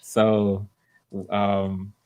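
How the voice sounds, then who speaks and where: Male casting host, lowish pitch, casual, encouraging, live-stream talk. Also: monotone, drawn-out words, a little mellow, clean tone.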